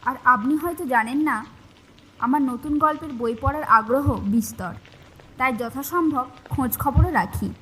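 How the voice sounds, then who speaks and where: Mysterious lady of an old house, played by a woman: high-pitched, serious, formal, for a ghost story, with slight background noise. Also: measured pace, a bit bright, rough recording. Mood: puzzled, dismissive. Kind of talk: audio horror story acting.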